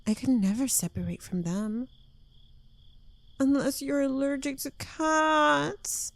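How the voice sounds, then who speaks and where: Woman acting a slow, creaky-voiced possessive witch girlfriend. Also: high-pitched, steady tone, no room sound. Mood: clingy, unhinged sweetness. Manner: coy, teasing, lingering delivery dropping into breathy whispers. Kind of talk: intimate ASMR roleplay, addressed to the listener.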